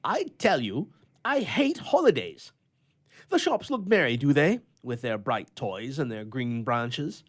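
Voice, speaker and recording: A man's voice: a little high, voice pushed up. A man voicing an irritable, lonely bachelor in a storybook reading, with emphatic, indignant, old-fashioned oratory.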